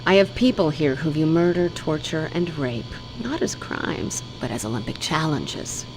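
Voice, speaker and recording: A woman's voice: deep voice, expressive, moderate pace, over music, low-quality recording. An actress playing a cruel, domineering female crime boss who talks with menacing contempt. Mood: menacing scorn.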